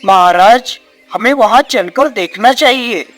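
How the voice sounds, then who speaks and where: A man's voice: high voice, a little slow, fairly bright tone, soft background music. A man playing a dutiful, compassionate royal minister in a moral story. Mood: concerned. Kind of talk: dramatised Hindi moral story.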